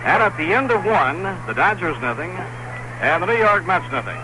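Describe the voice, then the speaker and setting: A man calling baseball play-by-play on the radio in the 1960s, brisk and easygoing. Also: high-pitched, big pitch swings, music in the background. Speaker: play-by-play announcer. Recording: noisy vintage radio broadcast.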